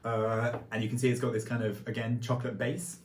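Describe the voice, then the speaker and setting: A man from Wales talks through a tasting in a chatty, explanatory way with joking asides, at an ordinary middle pitch. The room adds a roomy echo, and there is faint noise behind him. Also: little pitch movement, brisk. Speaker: co-host. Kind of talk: casual food tasting chat.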